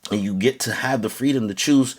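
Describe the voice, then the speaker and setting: A man giving an earnest, halting opinion rant to camera, with many fillers and restarts, growing louder and higher as he gets heated. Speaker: vlogger.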